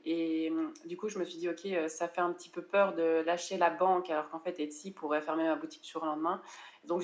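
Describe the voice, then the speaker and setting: A woman from France in her thirties, a guest in a conversational interview, talking casually and often hesitating and restarting. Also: lowish voice. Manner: chatty, frequent hesitations and restarts. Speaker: interview guest, online seller coach.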